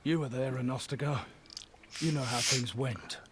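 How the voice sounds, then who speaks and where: An actor playing a guilt-ridden dying soldier, once a king's guard, speaking gravely and haltingly in a fantasy video game. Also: mid-range voice, crowd noise, close to an everyday voice. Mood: grieving, bitter.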